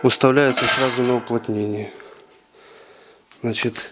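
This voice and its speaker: A man giving an unscripted walkthrough of his home brewing equipment, talking haltingly with many filler sounds, recorded indoors on a handheld camera. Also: medium pitch, steady tone. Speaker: home brewer. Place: indoor room with carpet.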